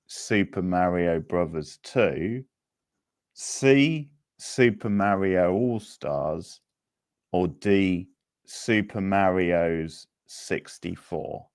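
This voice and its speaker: A man's voice: sits low, animated, drawn-out words, no echo, studio quality. A man hosting a casual quiz, chatting and answering participants as he reads out questions. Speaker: quiz host.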